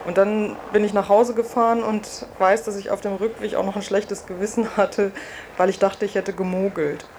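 A woman's voice: medium pitch, little pitch movement, moderate pace, a little mellow. A woman reporter giving an informal first-person account of her own experiences. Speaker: reporter and test participant. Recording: faint background ambience and noise.

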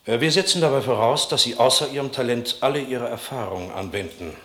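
A man's voice: mid-range voice, fast talker, bright, forceful tone, close to an everyday voice. Guy playing a money man in a radio play, polite but skeptical, midrange voice, echoey. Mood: businesslike. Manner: formal, polite, skeptical questioning. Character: probing, businesslike male film financier. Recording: echoey room.

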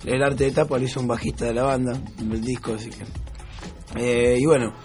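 A man's voice: medium pitch, fairly even intonation, fairly quick, darkish tone, soft background music. A man in his twenties with an Argentine accent, chatting casually as a musician being interviewed. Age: 20s.

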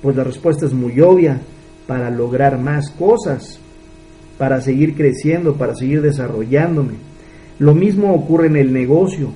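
A man's voice: mid-range voice, normal speed, dark tone, slight background noise, rough recording. A man in his thirties with a Mexican accent, giving an earnest motivational business talk and asking rhetorical questions. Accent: Mexican Spanish. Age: 30s. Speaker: business mentor.

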